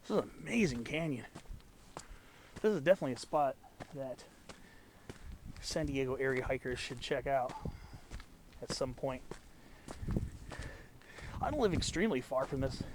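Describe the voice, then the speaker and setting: A man's voice: mid-range voice, lively intonation, normal speed, long pauses, noisy background. Congested young man hiking outdoors. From Southern California. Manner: enthusiastic, rambling, botanical asides. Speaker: hiking vlogger. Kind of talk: casual walk-and-talk narration. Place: outdoors in a canyon on a trail.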